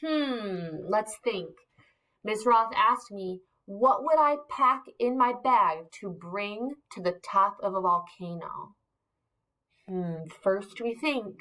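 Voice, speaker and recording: A woman teacher reading aloud to young children, expressive and encouraging, using simple emphasized words and pausing to ask questions. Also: medium pitch, low-quality recording. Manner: encouraging, asks questions and pauses for answers. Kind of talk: interactive read-aloud lesson for young children.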